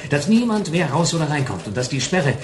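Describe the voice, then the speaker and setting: A cheeky private eye in radio drama dialogue, terse and wry. His middle-pitched voice moves around a lot, with echo around it and faint noise. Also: big pitch swings, brisk. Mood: brisk, focused. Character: cool, cheeky male private detective in trouble. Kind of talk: German radio play dialogue. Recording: echoey, faint background noise.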